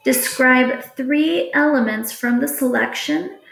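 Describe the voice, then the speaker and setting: Slow-speaking woman teacher in an echoey room. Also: high voice, gravelly, fairly bright tone. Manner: deliberate, instructional. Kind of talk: reading test questions aloud to students.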